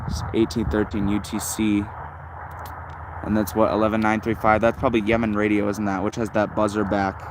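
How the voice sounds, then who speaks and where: A man's voice: on the low side, level tone, a little fast, noisy background. A man giving casual, unscripted hobbyist commentary as a livestream host, rambling and thinking aloud. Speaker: shortwave radio livestream host.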